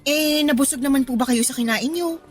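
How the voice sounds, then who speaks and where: A high, youthful female voice from an actress plays a kind student in a radio drama. She is gentle and deferential to her elders, with faint music underneath. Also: brisk, natural voice. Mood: kind, eager. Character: kind, respectful young college student daughter, working at an eatery. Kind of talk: Tagalog radio drama acting.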